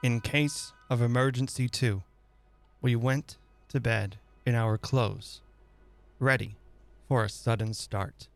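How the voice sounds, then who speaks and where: A man giving a theatrical, deliberate and suspenseful dramatic reading of a horror story. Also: mid-range voice, steady tone, gravelly, soft background music. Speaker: host and reader of a dramatic reading radio show.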